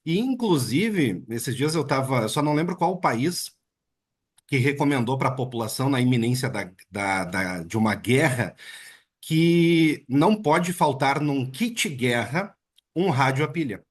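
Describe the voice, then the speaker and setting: A man hosts an interview at an easy, conversational pace. His voice is slightly husky, and his sentences lean on frequent tag questions. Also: mid-range voice, a little slow. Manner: relaxed, chatty, with many filler "né"s. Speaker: interviewer and host.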